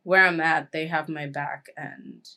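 A woman's voice: deep voice, steady tone, unhurried, sharp tone. A woman in her twenties from Kenya, a guest chatting casually in an interview with lots of "like" and "um". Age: 20s. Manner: chatty, frequent "like" and "um" fillers.

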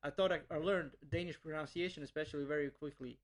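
A man's voice: a little high, fairly even intonation, quick pace. A young man from Bosnia, a language teacher, answering interview questions earnestly with many restarts and repeated words.

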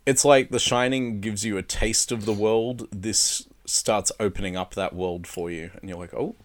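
A man's voice: fairly low pitch, fairly even intonation, moderate pace. A man co-hosting a casual film chat, explaining films with enthusiasm. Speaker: podcast co-host, film reviewer.

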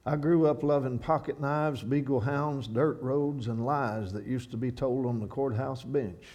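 A man from Kentucky with a Kentucky accent, speaking at a church with halting restarts and reading a poem in a steady rhythm. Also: mid-range voice. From Kentucky, USA. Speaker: guest speaker. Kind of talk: sermon with a read-out poem.

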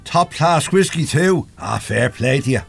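A man's voice: fairly high pitch, expressive, measured pace, gravelly, husky, faint music behind, heavily acted. An actor in an audio drama playing a cheeky, brash working-class man who loves a drink, with a Dublin accent. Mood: jolly. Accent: Dublin Irish. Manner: brash, slangy.

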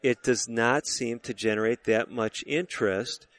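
A man hosting a radio interview show, asking questions in a measured, conversational way. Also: mid-range voice, steady tone, no room sound.

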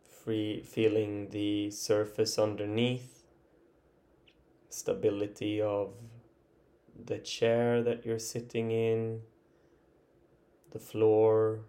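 A man leading an improvised guided body relaxation as a meditation teacher, speaking gently and haltingly with long pauses. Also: lowish voice, monotone.

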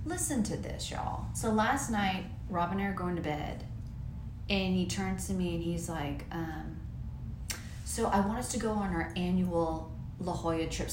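A woman in her thirties co-hosting a casual podcast chat, telling stories and acting out both sides of conversations. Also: on the low side. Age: 30s. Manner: animated storytelling, acts out dialogue. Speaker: podcast co-host. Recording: roomy room with faint noise.

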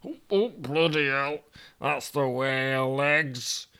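A man's voice: mid-range voice, slow, pushed and bright, clear, resonant tone, voice pushed up. A man voicing a foul-mouthed, grumbling Yorkshire man of forty with a Yorkshire accent. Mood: excited. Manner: cursing, grumbling, exasperated.

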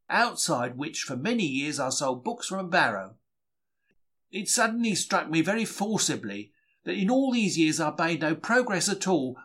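A man reads diary entries aloud as a dramatic first-person account. His voice sits a little high and moves in lively swings, with an expressive, wry storytelling manner. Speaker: diary reader.

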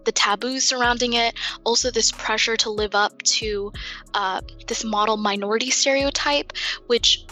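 A woman's voice: a little high, fairly even intonation, moderate pace, sharp tone, faint music behind. A young woman from the United States, a guest on a casual podcast, talking in an earnest, articulate way and laughing easily. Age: young adult.

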